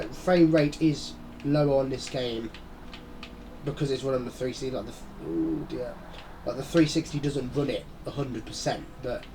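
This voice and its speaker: A man's voice: medium pitch, a little mellow, a little hiss or hum, low-quality recording. Stuffy-nosed man chatting over gameplay. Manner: rambling, chatty, muttering asides. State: stuffy nose from a head cold, mouth-breathing. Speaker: gaming channel host. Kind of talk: casual gameplay commentary.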